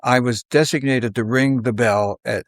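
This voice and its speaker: An older man reminisces conversationally, in halting, unhurried phrases, often acting out bits of dialogue. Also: medium pitch, fairly even intonation, no echo, clean studio sound. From South Philadelphia, United States. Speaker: podcast guest.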